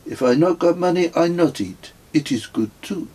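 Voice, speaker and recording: A man's voice: fairly high pitch, animated, normal speed, a little mellow, slightly rough, pitched above the performer's own voice. A man voicing a serene, smug male pilgrim who speaks broken English with a strong French accent, in a sing-song, self-satisfied way.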